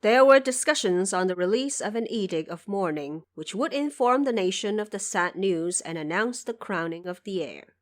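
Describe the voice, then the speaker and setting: A woman giving scripted storytelling narration for an audio drama, with expressive, careful phrasing. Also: medium pitch, moderate pace, hardly any pauses.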